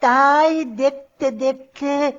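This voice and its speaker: A poor old Brahmin man in a cartoon, acted out by a woman, pitched very high and speaking slowly. He is desperate and halting, pleading and repeating himself. The soundtrack is a little dull. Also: little pitch movement. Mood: pleading, weary. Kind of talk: cartoon voice acting.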